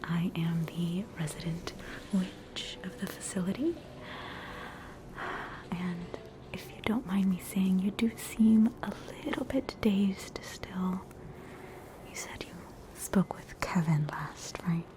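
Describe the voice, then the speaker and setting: A woman with an Australian accent playing a polite, gossipy hospital witch in a softly whispered ASMR roleplay. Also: lowish voice, background noise. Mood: curious, knowing. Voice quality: soft, breathy whisper. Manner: courteous, attentive, unhurried.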